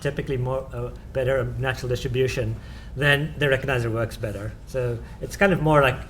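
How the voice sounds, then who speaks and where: A man with a South African accent answers questions at a conference talk. He is halting, full of "um"s and self-corrections, at an everyday mid pitch. A faint hum of the room runs underneath. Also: fairly even intonation, fairly bright tone. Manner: hesitant, many "um"s and restarts.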